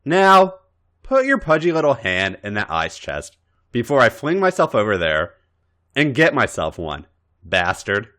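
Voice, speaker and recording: A brash young woman, drunk and spoiled, as a male narrator puts her on. Her speech is slurred and whiny, leaping around in pitch with belligerent swagger, in the manner of a comic audio story. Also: wildly swooping pitch, measured pace, a bit bright. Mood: bossy.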